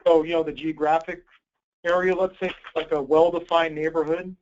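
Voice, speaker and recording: A man with a fairly high voice leads a conference call, presenting and fielding questions. He is informal and obliging. The audio is dull and low-fi, as on a group call line. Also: level tone, normal speed, a little mellow, slight background noise. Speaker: host, grant program coordinator.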